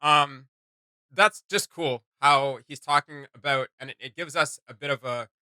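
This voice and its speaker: A man gives casual reaction commentary as a co-host. His voice sits a little high and is strikingly bright, with a dry sound. He rambles informally and with enthusiasm. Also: fairly even intonation, measured pace, smooth, dry recording.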